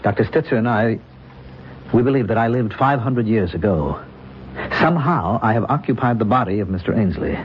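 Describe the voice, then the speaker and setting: A man's voice: lowish voice, animated, a little fast, a little hiss or hum, natural voice. An actor playing a troubled, despairing man who refuses to fight, in earnest old-time radio drama style. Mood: solemn. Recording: dull lo-fi old radio recording.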